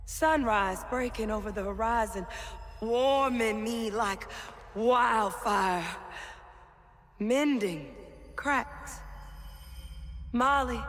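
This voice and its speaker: An actress playing a middle-aged mother, narrating poetically in a halting, solemn, fragmented way for a video game. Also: a little high. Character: anguished mother recalling drowning and being healed. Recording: long reverb, faint music behind. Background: faint music.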